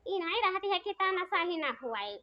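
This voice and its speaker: A woman's voice: very high voice, very animated, normal speed, a loud crowd. A woman with a rural eastern Uttar Pradesh accent voicing a pleading village peacemaker in a family comedy cartoon, repeating herself as she speaks. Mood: coaxing. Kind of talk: dialect comedy cartoon dialogue. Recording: dull lo-fi cartoon audio.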